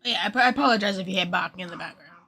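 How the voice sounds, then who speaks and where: A woman with a bright, forward voice at a middle pitch gives casual game stream commentary. She reacts aloud informally over faint game music. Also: very fast, breathy edge.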